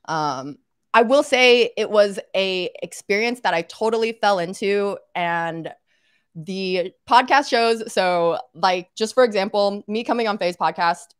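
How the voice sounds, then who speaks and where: A woman's voice: mid-range voice, unhurried, clean tone, no echo, studio quality. A woman in her thirties from Arkansas, chatting casually as a guest on a video-call interview, with lots of "like" and "you know". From Arkansas, United States. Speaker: podcast host and guest. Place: home room, indoors. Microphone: webcam on a video call.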